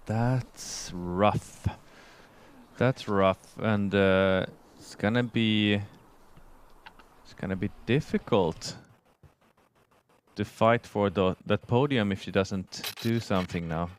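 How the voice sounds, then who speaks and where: Male sports commentator, Swedish accent, native Swedish speaker, low voice, conversational live delivery. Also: takes it slowly, pauses often. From Sweden.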